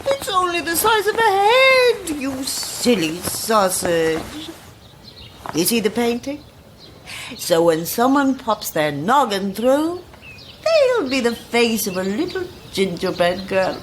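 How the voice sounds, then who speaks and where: A woman's voice: fairly high pitch, big pitch swings, slow pace, background noise. An actress playing a sinister older woman, sweetly mocking and gleefully cruel, with sing-song, gloating delivery in a horror audio drama.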